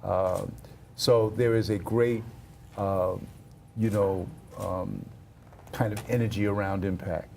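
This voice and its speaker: Middle-aged man, low voice, even intonation, halting interview answers, faint background noise. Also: creaky. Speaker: arts organization leader, interview guest.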